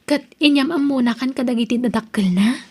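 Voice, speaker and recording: A woman's voice: high-pitched, brisk, slightly rough. A woman playing a concerned, skeptical young best friend in an Ilocano audio drama, questioning and cautioning with an Ilocano Filipino accent. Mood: worried caution.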